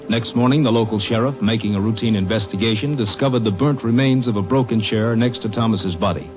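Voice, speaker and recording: Lowish-voiced terse male radio narrator. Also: brisk, music in the background. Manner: terse, factual, solemn. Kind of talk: scripted radio crime drama narration. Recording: muffled old radio recording.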